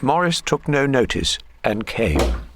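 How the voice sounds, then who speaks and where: A man's voice: medium pitch, very animated, measured pace, background noise. A man narrating a scripted radio drama.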